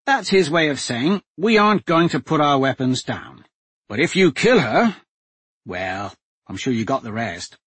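A man voicing a patient, reasonable male swordsman who explains things calmly. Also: medium pitch, measured pace, breathy edge, dry recording, heavily acted. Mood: explaining.

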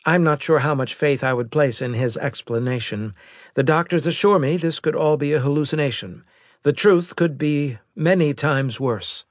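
A man voicing a brisk, formal female military intelligence officer giving precise reports in an audiobook. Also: fairly high pitch, no room sound, clean studio sound, voice pushed up, natural voice.

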